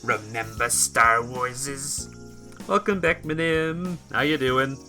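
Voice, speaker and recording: A man live-streaming a visual novel, reading the text aloud and breaking off for casual, halting asides to his viewers. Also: mid-range voice, fairly even intonation, bright, forceful tone. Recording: music behind the voice.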